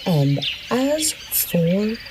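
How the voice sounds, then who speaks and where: Woman acting a slow-spoken scolding forest ranger. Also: mid-range voice, very animated, background noise. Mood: stern scolding. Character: forest ranger Harper, protective, scolding, soothing a small creature. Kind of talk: audio drama character acting.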